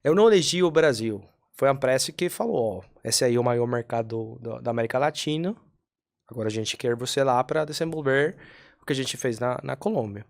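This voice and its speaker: A man from Colombia, a native Spanish speaker, talking as a podcast guest in Portuguese with a Colombian accent, earnest and measured, sometimes searching for words. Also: mid-range voice, gravelly. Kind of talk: casual interview storytelling.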